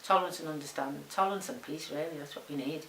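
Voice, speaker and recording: A woman's voice: deep voice, fast, a bit bright. A woman. She talks as an interviewee in a roomy space, with faint hiss on the recording. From Liverpool, England. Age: 70s. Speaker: documentary interviewee. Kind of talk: unscripted interview answers.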